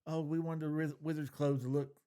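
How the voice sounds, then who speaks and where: A man's voice: a little high, flat delivery, brisk, dark tone, clear, resonant tone. A man chatting casually as a podcast co-host, opinionated and informal, with the odd swear word. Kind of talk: casual fan discussion.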